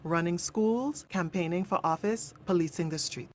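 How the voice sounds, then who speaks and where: A woman narrator delivering scripted informational lines in a measured, instructive way. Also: on the low side.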